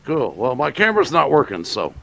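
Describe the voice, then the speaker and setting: Male guest from southern illinois, gravelly voice, raised pitch, lively intonation, brief replies. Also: brisk. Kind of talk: answering interview questions over a call.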